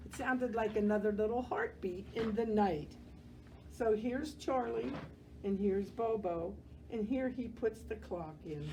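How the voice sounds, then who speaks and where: A woman reads a children's story aloud at a slow, unhurried pace. Her delivery is gentle and expressive, like a library storyteller showing the pages. Faint noise sits behind her voice. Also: medium pitch, poor audio. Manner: gentle, expressive, pauses to comment on pictures. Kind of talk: read-aloud children's picture book narration.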